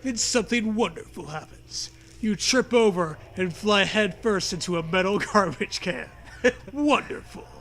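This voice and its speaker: Male co-reader for comedy: high-pitched, bright, measured, playful, with faint background noise. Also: animated. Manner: playful, dramatizing the narration. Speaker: co-host reading aloud a choose-your-own-adventure parody. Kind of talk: comic read-aloud with casual banter.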